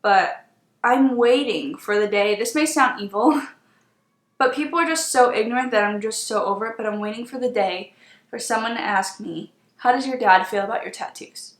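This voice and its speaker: A woman's voice: fairly high pitch, moderate pace. Chatty young woman in an echoey room. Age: 20s. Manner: chatty, exasperated, emphatic. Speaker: beauty vlogger. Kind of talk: casual story time while doing makeup.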